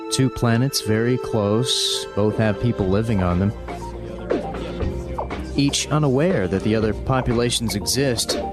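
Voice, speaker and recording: An actor playing a grave announcer, narrating a science-fiction audio movie in a portentous, dramatic way. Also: male voice, mid-range voice. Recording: music behind the voice.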